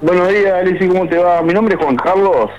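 Uruguayan guy in his 40s on a muffled phone line, fairly high voice, rambling and upset. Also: a little fast. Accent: Uruguayan Spanish. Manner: aggrieved, rambling with many restarts. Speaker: caller. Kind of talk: radio call-in complaint.

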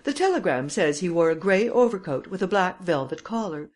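A woman's voice: lowish voice, sing-song, brisk, darkish tone. A woman voicing a matter-of-fact male police officer asking plain questions.

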